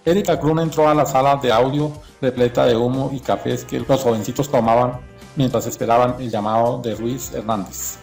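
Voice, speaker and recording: Guy narrating a documentary script, gravelly fry in the voice, faint music under it. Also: mid-range voice, steady tone, normal speed, darkish tone.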